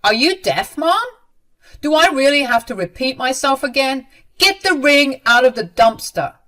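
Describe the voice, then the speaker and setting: A woman voicing a stern, angry adult son in a dramatized story, speaking in a hard, forceful, commanding way. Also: sits high, animated, normal speed, pitched above the performer's own voice.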